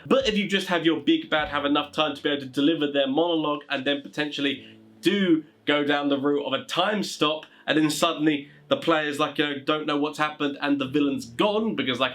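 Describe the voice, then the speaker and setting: A man with a somewhat high voice presents tabletop gaming advice. He talks informally and conversationally, as an explainer. His sentences ramble along and circle back to the same stock phrases. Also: fairly bright tone.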